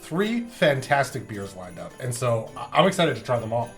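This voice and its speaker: A man hosting a review show in casual comic banter, with animated, exaggerated reactions. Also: medium pitch, normal speed, soft background music. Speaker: beer review show host.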